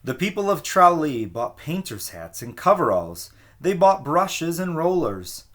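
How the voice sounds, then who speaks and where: A man reading a children's picture book aloud as a teacher, with an expressive storytelling cadence. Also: a little high, measured pace.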